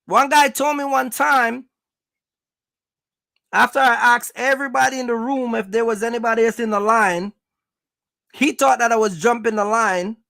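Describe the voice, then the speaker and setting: This is a host with a noticeably creaky voice, recorded dry with very little room sound. The delivery is casual, motivational advice straight to viewers. Points are stressed and repeated, with regular check-ins that the listener follows. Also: mid-range voice, steady tone, moderate pace, long pauses, bright, forceful tone, dry recording. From Jamaica. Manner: emphatic, repetitive, checks in with listeners. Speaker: host, trucking business coach.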